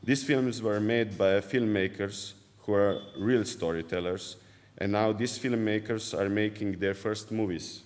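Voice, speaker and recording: A man from Slovenia, a film director speaking on a panel in a measured, earnest way. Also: lowish voice. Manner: measured, earnest, with small restarts. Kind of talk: panel talk. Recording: hall reverb.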